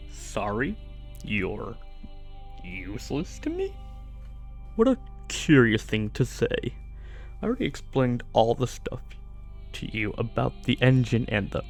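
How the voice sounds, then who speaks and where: A man playing a menacing evil sorcerer in a fantasy ASMR roleplay, falsely gentle and condescending, with soft-spoken threats. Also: medium pitch, vocal fry, full character voice. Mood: coolly threatening. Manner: slow, silky, condescending, softly threatening.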